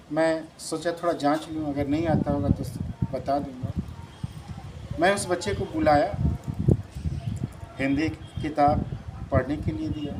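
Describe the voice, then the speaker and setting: A middle-aged man, a school principal, telling a story about a pupil in an earnest, measured way and ending with advice for parents. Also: fairly high pitch. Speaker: school principal and channel host. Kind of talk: reflective storytelling monologue with a moral. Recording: noticeable background noise.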